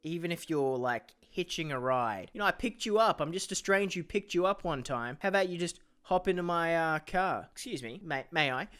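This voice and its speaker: A man's voice: on the high side, expressive, brisk, few pauses, a bit bright, clear, resonant tone. A man hosting a reaction video, commenting casually and jokingly with sarcastic, cheeky asides.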